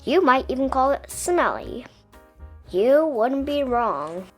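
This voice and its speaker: A woman narrates a children's storybook in a slightly high voice. She reads very slowly and expressively, leaning on key words with dramatic pauses. Soft music plays underneath. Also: big pitch swings. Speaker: picture book reader.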